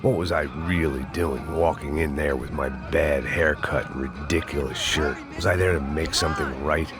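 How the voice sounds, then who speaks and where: A weary, cynical ex-cop. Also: male voice, extremely low pitch, steady tone, moderate pace. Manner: cynical, dry, world-weary. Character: jaded, cynical middle-aged male ex-cop. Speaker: narrator and lead character. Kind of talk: hardboiled video game narration and dialogue. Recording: game audio with music underneath.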